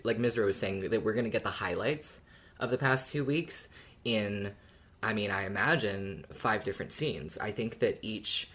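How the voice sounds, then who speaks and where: Very deep-voiced woman co-hosting a podcast. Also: fairly bright tone. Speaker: podcast co-host. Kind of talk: casual fan discussion, relaying listener comments.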